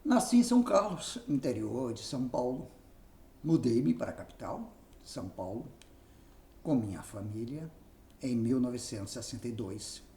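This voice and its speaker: A man with a Brazilian accent narrating a written memoir aloud in an expressive reading style. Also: mid-range voice, normal speed, gravelly. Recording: roomy echo, faint background noise.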